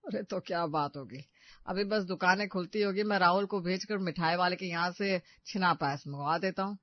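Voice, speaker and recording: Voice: medium pitch, normal speed, no echo, muffled recording, close to an everyday voice. An actor voicing an agreeable man of the family in an audio story, polite and eager to help.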